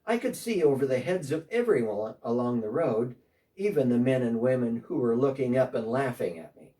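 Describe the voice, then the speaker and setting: A man's voice: mid-range voice, lively intonation, normal speed, dark tone, distant mic, close to an everyday voice. A man playing an eager, repentant tax collector. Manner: earnest storytelling, talking as if on a phone call. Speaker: dramatic reader. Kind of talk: first-person dramatic monologue.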